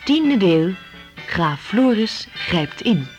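A woman announces a radio drama slowly in a fairly low, lively voice. The old recording is dull, with music behind her. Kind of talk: scripted radio play announcement.

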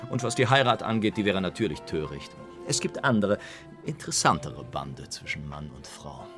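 An actor playing a worldly, cynical English gentleman in a radio drama, speaking with urbane, teasing irony. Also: sits low, sing-song, moderate pace, music in the background. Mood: dry irony. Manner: urbane, ironic, aphoristic.

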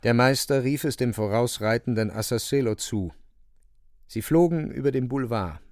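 A man's voice: lowish voice, creaky, a little mellow, dry recording, clean studio sound. A man giving solemn, theatrical literary narration for a radio play.

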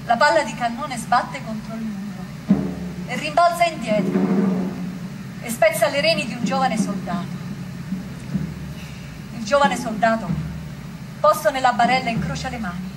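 A woman's voice: a little high, expressive, normal speed, pauses often, heavy background noise, close to an everyday voice. A woman performing as an impassioned storyteller in a theatrical war narrative, with music behind her. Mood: grave. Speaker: stage narrator and actress.

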